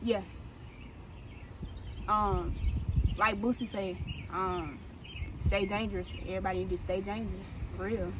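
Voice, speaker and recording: A woman's voice: mid-range voice, steady tone, unhurried, long pauses. A woman, a rapper answering interview questions in a laid-back, hesitant way with many ums. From South Carolina. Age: 20s. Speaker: rapper, guest. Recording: clear background noise.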